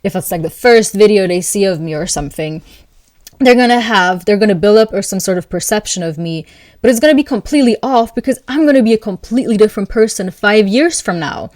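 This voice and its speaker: A woman hosting an astrology video, talking calmly and thoughtfully to camera, with soft laughs between thoughts. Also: medium pitch, brisk, no echo, clean studio sound. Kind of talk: casual spoken reflection to camera.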